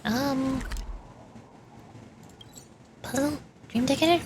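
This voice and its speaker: High-voiced woman streaming a game. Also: extremely slow, a little mellow, slight background noise. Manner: musing aloud to herself. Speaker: streamer playing a video game. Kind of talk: casual game commentary with read-aloud dialogue. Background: game music.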